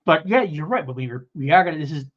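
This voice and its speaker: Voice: mid-range voice, animated, fast. A podcast co-host in a casual review discussion, talking in a hedging, rambling way and thinking aloud. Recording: dull lo-fi audio.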